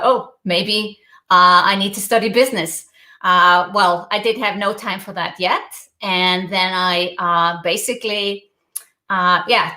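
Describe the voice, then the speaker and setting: A woman's voice: medium pitch, sharp tone, reverberant. A middle-aged Icelandic woman with an Icelandic accent, telling her story earnestly as a guest on a video-call interview, with frequent hesitations. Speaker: guest entrepreneur.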